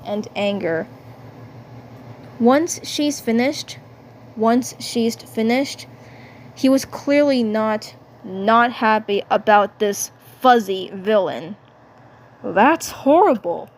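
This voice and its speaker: Slow, high-voiced woman narrating. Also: expressive. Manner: halting, repeats phrases. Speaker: fan-dub narrator. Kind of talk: scripted narration of a fan dub. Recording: faint background noise.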